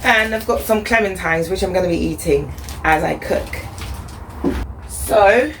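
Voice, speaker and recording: A woman vlogging casually as she cooks at home. Her voice is husky from the flu, and she talks chattily with plenty of fillers. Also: mid-range voice, measured pace. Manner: chatty, upbeat, thinks aloud with fillers. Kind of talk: casual cooking vlog. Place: home kitchen. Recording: echoey room, faint background noise.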